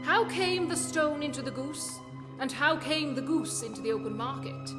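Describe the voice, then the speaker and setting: A woman playing a coolly confident, commanding detective in a radio play, speaking with measured authority. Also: high-pitched, natural voice. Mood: stern. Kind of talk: festive radio play acting. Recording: long hall reverb, loud music underneath.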